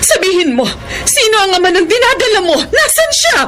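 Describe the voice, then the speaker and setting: A woman's voice: very high-pitched, very animated, fairly quick. A woman playing a wounded, pleading middle-aged schoolteacher mother in a Filipino radio drama, with an emotional, melodramatic delivery. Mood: anguished, tearful. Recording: dramatic music behind, some background noise.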